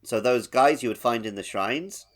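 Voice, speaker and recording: A man's voice: mid-range voice, moderate pace. A man co-hosting a podcast, discussing a game casually and explaining things informally with loose, conversational phrasing. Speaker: podcast co-host.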